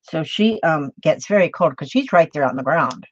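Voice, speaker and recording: A woman's voice: low-pitched, animated, moderate pace, a little hiss or hum. A woman in her late sixties, from Minnesota, chatting casually with viewers while she crafts, rambling along with fillers and restarts. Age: 60s. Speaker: crafting video host. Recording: dull, slightly lo-fi sound.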